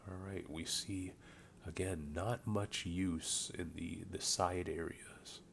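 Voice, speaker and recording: Man, deep voice, unhurried, a little off from a cold, rambling replay caster, faint hum behind. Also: vocal fry. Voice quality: voice slightly off from a cold. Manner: relaxed, rambling with many ums. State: getting over a cold. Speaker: game caster. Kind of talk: casual play-by-play commentary. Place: home room with a buzzing light.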